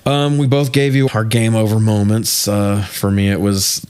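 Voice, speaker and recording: A man with a fairly low voice chats as co-host of a movie review show. His delivery is informal and slangy, with a joking tone, like easy conversation between friends. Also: level tone, moderate pace, a little mellow, smooth. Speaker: podcast co-host. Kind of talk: casual movie review chat.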